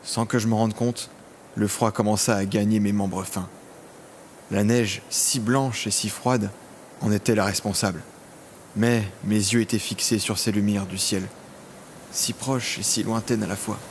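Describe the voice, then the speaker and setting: An actor playing a lonely, vengeful imprisoned villain in a scripted audio drama, speaking in brooding, literary monologue. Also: male voice, lowish voice, fairly even intonation, a little slow, a little hiss or hum. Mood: haunted, sorrowful. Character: vengeful, lonely imprisoned villain, self-styled son of death.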